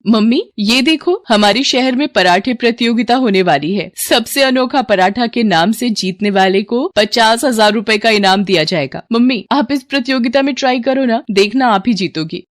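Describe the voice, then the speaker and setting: A woman voicing an eager, encouraging college-age daughter in an animated moral story, with a coaxing, pleading manner. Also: fairly high pitch, normal speed, hardly any pauses, lightly acted. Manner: pleading, bright-spirited, coaxing.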